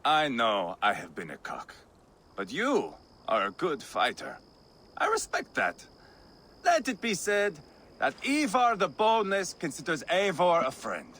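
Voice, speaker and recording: Cocky bloodthirsty viking from a video game, super animated and theatrical, sharp edge to the voice. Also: male voice, a little high, measured pace, a little hiss or hum, full character voice. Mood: boastful. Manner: theatrical, boastful, swinging between teasing and menace. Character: brash, bloodthirsty Viking warrior, mocking and restless. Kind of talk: video game cutscene acting.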